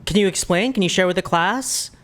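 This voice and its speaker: A woman's voice: lowish voice, brisk, background noise. A woman giving casual, jokey, exclamatory commentary as she plays through a video game. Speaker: gamer reacting to a first playthrough. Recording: game music behind voice.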